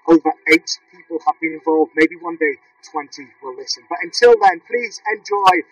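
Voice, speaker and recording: A man's voice: on the high side, moderate pace, fairly bright tone, no room sound, highs cut off. A man podcast host reading a jokey, mock-dramatic promotional announcement through a robotic vocoder effect. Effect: vocoder, synthetic robotic filter.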